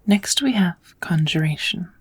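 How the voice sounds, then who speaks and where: A woman playing a kind witch who patiently teaches magic in a soft, often whispered ASMR roleplay voice. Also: on the low side, lively intonation, husky. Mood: gentle, musing. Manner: patient, encouraging, unhurried teaching. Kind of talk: ASMR roleplay, whispered lesson to one listener.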